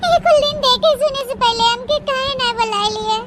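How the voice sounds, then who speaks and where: A woman's voice: very high voice, brisk, a bit bright. A woman with an Awadhi accent voicing a wretched, self-pitying young bride who laments in melodramatic cartoon style. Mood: tearful lament. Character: wretched orphaned young bride sold to an old man. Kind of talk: melodramatic comic cartoon acting. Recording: noisy, hissy voice track.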